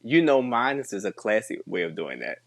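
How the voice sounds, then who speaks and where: A woman co-hosting a podcast in casual chat, talking haltingly and trailing off with laughs. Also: very deep voice, big pitch swings. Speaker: podcast co-host. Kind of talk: casual film-recap chat.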